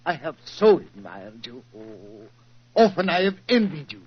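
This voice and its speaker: An actor in a 1940s radio drama playing an effusive, flattering musical director with florid, over-polite manners. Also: male voice, on the high side, unhurried. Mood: gushing. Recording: dull, noisy 1940s radio recording.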